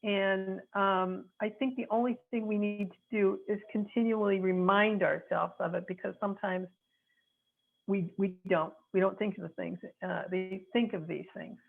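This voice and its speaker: A woman's voice: medium pitch, fairly even intonation, normal speed, gravelly. A woman in her sixties from the American Midwest, an attorney giving earnest, frank advice while searching for her words. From North Chicago, USA. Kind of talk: reflective advice talk. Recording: tinny phone-like line.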